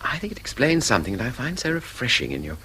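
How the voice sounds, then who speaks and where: A man's voice: mid-range voice, very animated, fast talker, pushed and bright, a little hiss or hum, rough recording. A man with an English accent playing a courteous, jaded, inquisitive traveller in a radio drama, musing and politely probing. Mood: curious, admiring. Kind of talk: British radio drama acting.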